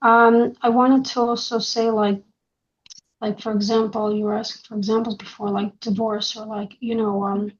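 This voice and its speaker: A woman's voice: on the high side, flat delivery, moderate pace. Hesitant woman on dull lo-fi audio. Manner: hesitant, full of "like" and "you know". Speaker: participant in a group discussion.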